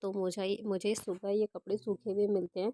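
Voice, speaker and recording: A young woman with a hoarse voice from a cold, chatting casually as she walks through her evening kitchen routine step by step. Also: mid-range voice, little pitch movement, moderate pace, warm tone, no echo, studio quality. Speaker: home vlogger. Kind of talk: casual voice-over narration of home chores.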